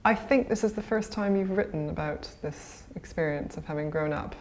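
A woman's voice: low-pitched, moderate pace, darkish tone, a little hiss or hum. A woman in her thirties interviewing conversationally, seated in a small room. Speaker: interviewer, magazine editor. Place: small indoor office room, seated at a table.

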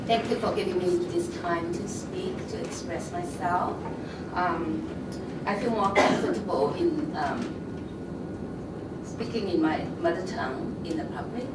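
A woman whose first language is Cantonese speaks slowly and carefully in English, with frequent pauses. Her lowish voice sits far off in an echoey, packed meeting room, and heavy room noise is always under it. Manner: careful, halting, with many pauses. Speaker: public speaker at school board meeting. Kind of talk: prepared public comment. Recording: echoey, distant, noisy room recording.

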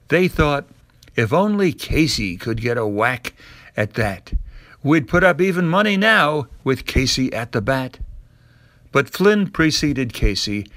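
A man reading a poem aloud as a dramatic recitation, with theatrical, measured and emphatic delivery. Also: mid-range voice, pushed and bright, dry recording. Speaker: poem reader.

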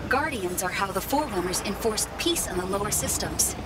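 A woman's voice: mid-range voice, animated, fairly quick, far from the mic, over music, close to an everyday voice. A woman playing an eager, helpful machine caretaker in a video game, with formal, precise wording. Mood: grave explaining. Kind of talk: video game cutscene acting.

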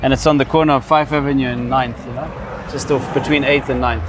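A man's voice: mid-range voice, steady tone, brisk, a little hiss or hum. A man in his fifties with a Zimbabwean accent, telling personal stories in a casual, explanatory way as a vlogger. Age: 50s. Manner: reminiscing, explanatory. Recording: music behind the voice.

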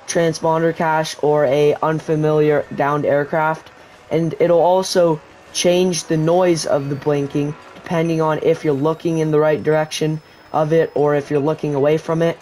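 A man's voice: a little high, slight background noise, poor audio. A man giving a casual, rambling voice-over tutorial for a survival video game, explaining as he plays. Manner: relaxed, rambling explanation with restarts. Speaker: gaming YouTuber.

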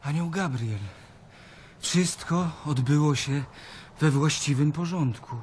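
An actor playing a fervent, devout young disciple in a radio drama. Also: male voice, on the high side, slow pace, darkish tone, a little hiss or hum. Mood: fervent, certain. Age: young adult. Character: fervent young male disciple proclaiming a miracle.

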